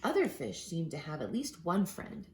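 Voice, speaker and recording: Expressive woman reading a children's storybook, lowish voice. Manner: expressive, with dramatic pauses and drawn-out emphasized words. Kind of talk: read-aloud picture book narration.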